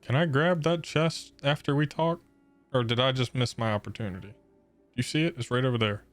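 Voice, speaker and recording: A man's voice: medium pitch, brisk. Slangy male game streamer, music behind. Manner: slangy, informal. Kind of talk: casual gameplay commentary.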